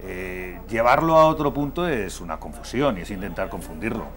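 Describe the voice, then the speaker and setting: A man's voice: on the low side, animated, moderate pace, crowd noise. A man from Madrid speaking as a political guest in a televised debate, hesitating with many fillers and restarts. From Madrid, Spain.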